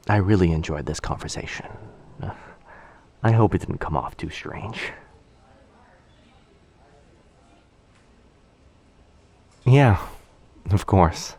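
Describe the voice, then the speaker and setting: A man playing a shy, sweetly awkward young stranger in a boyfriend ASMR roleplay, speaking softly and hesitantly in an intimate, rambling way. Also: deep voice, breathy edge, heavily acted. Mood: grateful, bashful. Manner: hesitant, rambling, soft-spoken with trailing phrases. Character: very shy young male stranger, sweetly awkward, overthinking coffee-shop crush.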